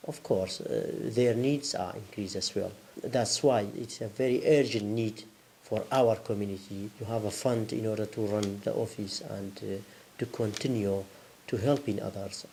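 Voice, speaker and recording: Kurdish-speaking man leading a community group, earnest, lowish voice, faint hiss. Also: a little mellow. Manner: earnest, halting non-native phrasing. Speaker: refugee community group leader. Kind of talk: interview.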